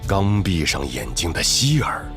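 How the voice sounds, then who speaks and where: Deep-voiced dramatic male story narrator. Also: sing-song, measured pace, over music.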